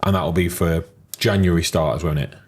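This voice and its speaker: A man's voice: low voice, steady tone, fairly quick, darkish tone. A man in his thirties, co-hosting a casual business podcast with dry, teasing asides. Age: 30s. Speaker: podcast co-host.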